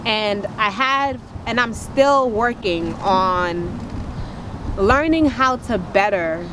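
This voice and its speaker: A woman with a naturally strong, powerful voice gives an earnest, uplifting testimony in a repetitive, preachy cadence. Her pitch sits in the middle of the range. She is recorded outdoors by the water, and clear traffic and vehicle noise runs behind her. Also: lively intonation, slow. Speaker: motivational speaker and poet. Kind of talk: inspirational testimony to camera.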